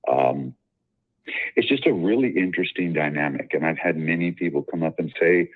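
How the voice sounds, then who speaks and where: A man with a very deep voice calling in to a radio advice show, talking in a confident, articulate way with many fillers. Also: moderate pace. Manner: articulate, self-assured, rambling with fillers. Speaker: caller. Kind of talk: casual call-in chat. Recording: tinny phone line.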